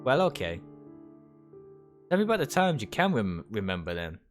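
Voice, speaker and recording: A man voicing a gentle, concerned young girlfriend in a visual novel, asking sympathetic questions. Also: on the low side, very animated, moderate pace, faint music behind.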